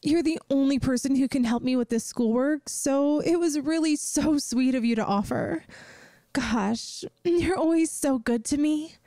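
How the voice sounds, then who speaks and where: A woman's voice: sits high, measured pace, warm tone, no room sound, studio quality. A woman playing a ditzy, sheepish young friend in an audio roleplay, talking to the listener in an earnest, self-deprecating, hesitant way. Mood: grateful, sweet. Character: ditzy, sheepish young female friend failing yandere school. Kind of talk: scripted audio roleplay, spoken directly to the listener.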